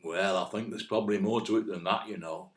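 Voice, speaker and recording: A man's voice: on the low side, steady tone, brisk, echoey room, natural voice. Man acting an earnest, down-to-earth English know-all. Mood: matter-of-fact. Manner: colloquial, matter-of-fact, mildly pedantic. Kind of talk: audio play acting.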